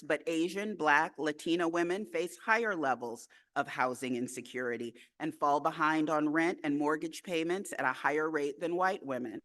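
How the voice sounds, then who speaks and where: A woman delivering a prepared speech at a breakfast event, in a rhythmic, repetitive style with deliberate pauses. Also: sits low, a bit bright. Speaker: speaker at a women's day breakfast.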